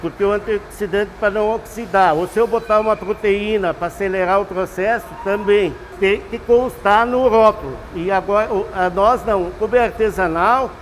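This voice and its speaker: A man, a master brewer explaining his craft in an unhurried, folksy, instructive way. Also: high-pitched, big-room sound, slight background noise, low-quality recording. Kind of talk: explanatory interview answers.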